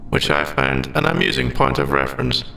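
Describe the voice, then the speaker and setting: A man's voice: medium pitch, flat delivery, brisk, gravelly, sharp tone, echoey room, slight background noise. A man voicing a polite, literal sentient AI in a scripted roleplay audio drama, speaking in stilted, formal, robotic phrasing. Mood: matter-of-fact. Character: male sentient AI, polite, literal, hiding forbidden feelings.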